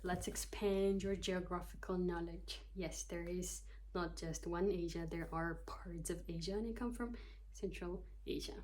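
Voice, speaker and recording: A woman's voice: on the low side, fairly even intonation, unhurried, darkish tone. A young woman from Kyrgyzstan in her twenties, a psychology student, chatting casually to camera, joking at herself and loosely reading from her notes. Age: 20s. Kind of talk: casual talking-to-camera vlog.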